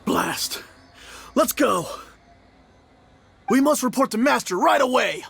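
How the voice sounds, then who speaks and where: Gravelly, high-pitched henchman. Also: animated, slow pace, fairly bright tone, heavily acted. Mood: rattled. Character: rough hired henchman, blustering and rattled. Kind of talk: video game voice acting.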